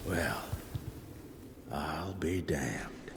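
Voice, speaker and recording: A man playing a gruff, sardonic cowboy vampire hunter in a video game cutscene, wisecracking over music. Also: very deep voice, very slow, very noisy, exaggerated voice. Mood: dry, wry.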